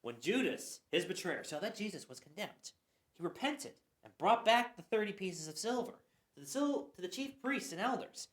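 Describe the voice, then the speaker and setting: A woman giving a dramatic Bible reading, expressive, with occasional stumbles. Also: medium pitch, moderate pace. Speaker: scripture reader. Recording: roomy echo.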